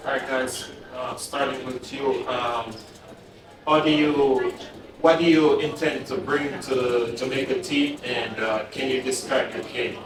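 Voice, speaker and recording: Male reporter, quiet, very roomy and distant. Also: medium pitch, steady tone, a little slow. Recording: very echoey, distant, crowd noise.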